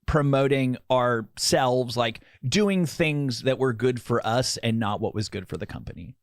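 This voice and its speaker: A man with a mid-pitched voice co-hosts a talk show in casual, candid conversation. He feels his way toward each point, stopping and restarting phrases. The recording is dry and close, free of room sound. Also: unhurried, no room sound.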